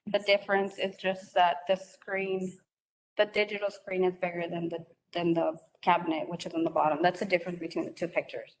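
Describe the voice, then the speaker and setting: A woman's voice: lowish voice, level tone, brisk, poor audio. Woman explaining things at a meeting. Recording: faint background noise.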